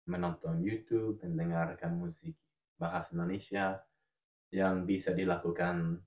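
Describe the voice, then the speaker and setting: A man's voice: deep voice, echoey room, dull audio. A man in his twenties, a native English speaker with a cold, chatting carefully on a podcast as co-host. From Australia. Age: 20s. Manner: careful, deliberate speech as a learner. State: has a cold. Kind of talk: casual podcast chat.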